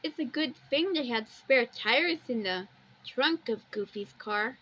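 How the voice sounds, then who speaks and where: A woman's voice: fairly high pitch, expressive, a little slow, fairly bright tone, low-quality recording. A woman giving a casual amateur video walkthrough, plainly describing pictures and wandering off into personal asides.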